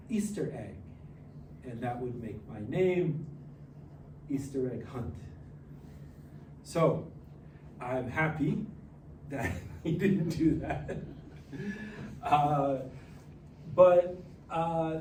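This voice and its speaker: Slow-spoken man in his forties, echoey room. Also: medium pitch, a little mellow, a little hiss or hum. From Hawaii, raised partly in New Jersey. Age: 40s. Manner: slow and careful, pausing often with "uh" and "so". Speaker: presenter introducing himself to a class. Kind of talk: slow, deliberate self-introduction with slides. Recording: echoey room, voice a bit far from the mic, faint music.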